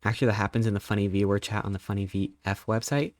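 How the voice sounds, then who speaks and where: A man in his thirties, a live streamer chatting casually and greeting viewers, rambling playfully with sudden squeaky jumps in pitch. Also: steady tone, quick pace, darkish tone, dry recording. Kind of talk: casual live-stream chat reading chat messages.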